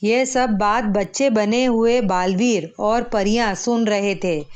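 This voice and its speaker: A woman storyteller reading a scripted children's moral tale, measured and phrase by phrase. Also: medium pitch, darkish tone.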